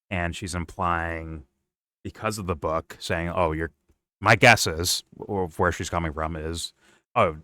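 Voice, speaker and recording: A man's voice: sits low, pushed and bright, dry recording. A man hosting a casual reaction chat, thinking aloud and checking in with "right?". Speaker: host.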